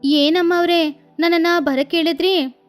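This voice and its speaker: A woman's voice: extremely high pitch, steady tone, moderate pace, soft background music, pitched above the performer's own voice. A woman doing an eager duck cook. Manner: polite, reassuring.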